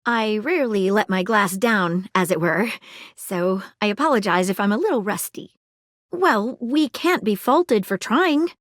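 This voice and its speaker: A woman voicing an eager, polite female magnifying-glass detective in a video game, crisp and precise, often apologetic. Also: medium pitch, animated, unhurried, no room sound, clean studio sound, natural voice. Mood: earnest. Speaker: detective character. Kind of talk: video game character dialogue.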